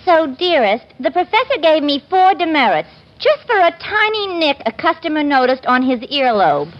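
A woman's voice: high voice, very animated, moderate pace. An actress playing a vain, touchy, man-hungry single woman in a 1950s radio comedy. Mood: earnest. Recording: noisy muffled 1950s radio broadcast.

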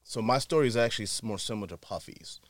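A man, telling his story as a podcast guest. He talks very fast, animated, correcting himself mid-sentence. The sound is close and dry. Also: on the low side, no echo. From Harlem, New York. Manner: rapid, animated, self-correcting. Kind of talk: casual storytelling chat.

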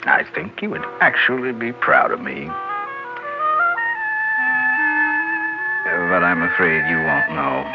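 Muffled vintage radio drama with music behind. a suave husband with murder on his mind, speaking slowly and theatrically. His tone is sardonic and mock-tender, swinging widely in pitch. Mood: smug, mock-tender. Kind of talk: 1960s radio drama acting.

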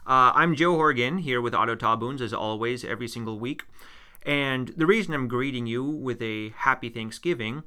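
A man's voice: medium pitch, moderate pace, pushed and bright, clean tone. A man from the United States, co-hosting a casual podcast and chatting in a rambling way with fillers and restarts. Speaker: podcast co-host, history teacher.

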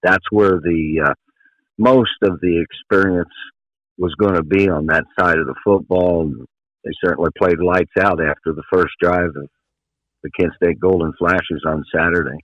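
A man's voice: low voice, normal speed, dry recording. A man speaking as a guest radio commentator, giving conversational sports analysis in an interview. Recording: dry, slightly dull lo-fi line.

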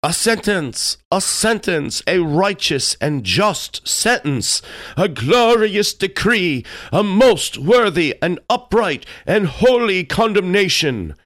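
A man voicing grotesque fawning courtiers in a storybook, exclaiming theatrically in a shrill tone. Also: very animated, slow pace, fairly bright tone, dry recording, studio quality, exaggerated voice. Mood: gleeful approval.

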